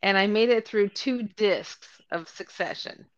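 A woman chatting casually in a relaxed way, often murmuring agreement. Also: mid-range voice, a little slow, no room sound, highs cut off. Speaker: co-host. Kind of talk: casual chat.

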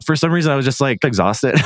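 A man's voice: mid-range voice, animated, moderate pace, no room sound, clean studio sound. A man hosting a podcast in a casual, informal, gushing way, peppering his talk with "like". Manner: gushing, informal, frequent 'like'. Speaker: podcast host. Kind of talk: casual podcast interview chat.

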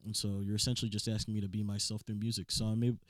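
A man with a rough, raspy voice, talking casually about music as a guest in a studio room. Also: on the low side, racing, crowd noise. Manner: relaxed, rambling casual chat with restarts. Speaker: blues and soul musician, podcast guest. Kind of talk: casual chat.

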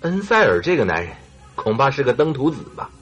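A man acting in a radio drama as an excitable, easily exasperated sidekick, huffy and full of exclamations. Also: mid-range voice, brisk, breathy edge, dull audio. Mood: intrigued.

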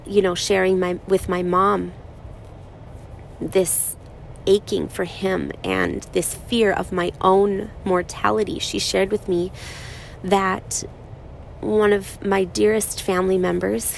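A woman in her thirties speaking slowly and softly in a reflective personal monologue, her voice raspy from crying. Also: mid-range voice, lively intonation, slight background noise, rough recording. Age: 30s. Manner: slow, soft-spoken, halting with pauses.

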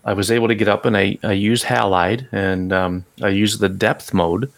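Gravelly low-voiced middle-aged man. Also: steady tone, normal speed. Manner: easygoing storyteller, hesitant with fillers. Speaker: podcast co-host. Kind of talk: casual chat.

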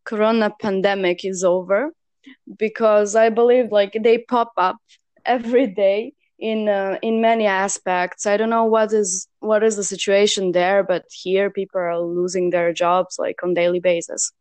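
A woman's voice: mid-range voice, fairly even intonation, normal speed, a little mellow, dry recording. A young woman from Serbia co-hosts a casual talk. She hesitates often, full of fillers and restarts, in a plain mid-range voice. The recording is dry and close, with no room sound. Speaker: co-host. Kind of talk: casual discussion.

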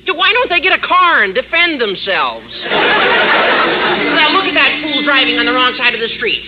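A gawky, excitable teenage boy with a high voice that swings wildly up and down. He is exuberant and slangy, in a muffled vintage radio comedy. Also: normal speed, over a noisy crowd, heavy background noise. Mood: indignant. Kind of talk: 1940s-50s radio comedy acting. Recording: muffled old radio recording, audience.

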